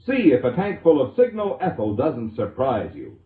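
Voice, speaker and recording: A man's voice: medium pitch, huge pitch swings, moderate pace. An American man reading scripted 1940s radio advertising as an announcer, with a polished, emphatic salesman delivery. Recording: vintage radio recording, roomy echo, muffled lo-fi.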